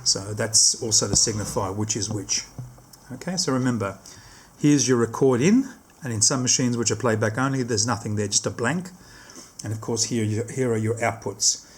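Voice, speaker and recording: Husky-voiced man giving a casual tutorial. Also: mid-range voice, normal speed. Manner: explanatory, repeats and rephrases instructions. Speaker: product demonstrator.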